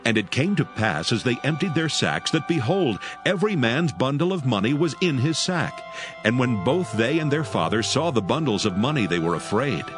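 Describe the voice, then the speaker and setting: A man narrating scripture in a measured, solemn reading. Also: medium pitch, very animated, music in the background.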